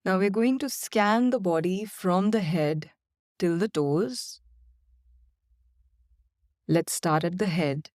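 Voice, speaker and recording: A woman guiding a body-scan and breathing meditation in slow, calm, soothing instructions with long pauses. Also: fairly low pitch, no echo, studio quality. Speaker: meditation guide. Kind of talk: guided meditation.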